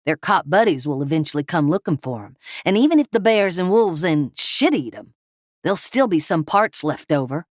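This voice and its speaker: A woman voicing a crude, whiny male meth cook who rattles off fast, argumentative complaints. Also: fairly high pitch, lively intonation, no echo, studio quality, natural voice. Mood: anxious.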